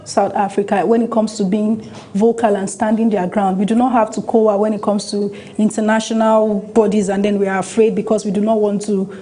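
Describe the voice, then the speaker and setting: A woman hosting a talk show panel, measured and interjecting with points of her own. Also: medium pitch, little pitch movement, mellow. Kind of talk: panel discussion.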